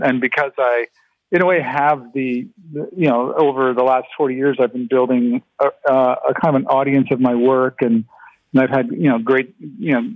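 A man in his sixties, a guest artist whose first language was Ukrainian, answering interview questions haltingly with many restarts. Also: medium pitch. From Canada. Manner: hesitant, many restarts and fillers. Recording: tinny dry phone line.

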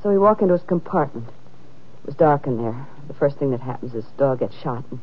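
An actress playing a brash, world-weary schemer who talks in sardonic slang, in an old-time radio crime drama. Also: female voice, extremely low pitch, animated, fairly quick, slight background noise. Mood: grudging confession. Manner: sardonic, slangy tough-dame talk. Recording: muffled old radio recording.

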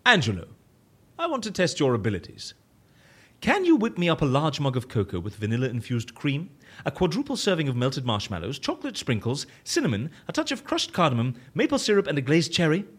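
An eccentric, fussy boss in an audio comedy. His voice sits fairly high and leaps wildly up and down. He is theatrical and wordy, full of exclamations. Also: wildly swooping pitch, fairly quick, breathy edge, heavily acted. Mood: demanding, delighted. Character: eccentric, fussy male boss with a cocoa obsession. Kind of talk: audio comedy drama acting.

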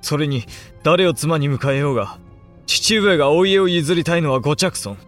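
An actor playing a dutiful but resentful young samurai in a period audio drama, with formal, emotional speech. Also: male voice, fairly high pitch, normal speed, fairly bright tone, soft background music, natural voice. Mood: bitter brooding.

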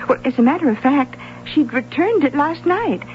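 An actress playing a hesitant, helpful and slightly puzzled library clerk in a radio drama, speaking thoughtfully. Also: female voice, high voice, expressive, normal speed. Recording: muffled, noisy 1970s radio broadcast recording.

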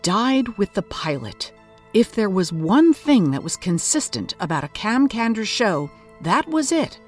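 An inquisitive, expressive interviewer. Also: female voice, mid-range voice, measured pace, faint music behind. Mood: curious, reflective. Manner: thoughtful, questioning. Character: inquisitive, measured investigator of obscure culture, interviewer. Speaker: host and interviewer. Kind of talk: documentary-style interview and narration.

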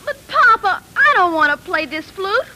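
Whiny, very shrill little boy. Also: sing-song, normal speed, pushed and bright, heavily acted. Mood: whiny protest. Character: pleading, stubborn little boy. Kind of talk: 1940s radio acting. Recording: faintly noisy vintage 1940s radio recording.

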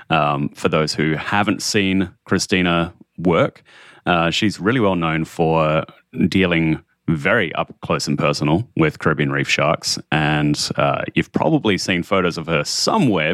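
A man's voice: deep voice, fairly bright tone, dry recording, clean studio sound. A man hosting a podcast as a marine biologist, reading an upbeat intro and then explaining at length.

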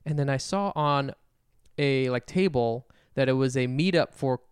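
A man co-hosting a podcast, telling animated stories in casual chat with offhand swearing. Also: medium pitch, normal speed, clean tone, no echo, studio quality. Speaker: podcast co-host.

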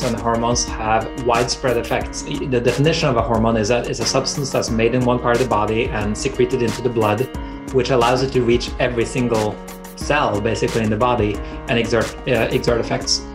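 A man who is a doctor explains his field with enthusiasm in an interview. His voice sits in a middle range. Guitar music plays clearly behind him. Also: medium pitch, steady tone, fairly quick. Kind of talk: expert interview.

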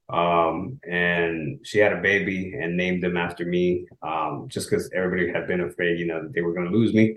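A man's voice: low voice, reverberant, poor audio. A young man, Spanish his first language, from El Salvador, talking earnestly as an interview guest with frequent restarts. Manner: earnest, halting with restarts and small laughs. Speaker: guest, author. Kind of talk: casual interview.

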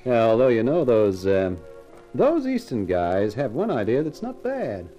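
An actor playing a wry, tough private eye in a 1950s radio crime drama. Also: male voice, medium pitch, huge pitch swings, unhurried, warm tone, lo-fi sound, faint music behind. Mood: teasing. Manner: wry, wisecracking. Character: wisecracking tough private eye, brave and flirtatious. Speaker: lead actor and narrator.

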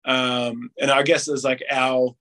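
A man's voice: medium pitch, flat delivery, slow pace, pushed and bright. A man, chatting casually on a podcast, rambling with lots of "like" and acting out little quotes. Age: late 20s. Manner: rambling, heavy use of "like", acts out quotes.

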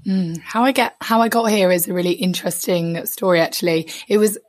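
Hoarse woman guest, therapist, candid and reflective, dry close recording. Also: lowish voice, fairly quick, dry recording. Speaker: podcast guest, psychotherapist. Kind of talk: casual interview.

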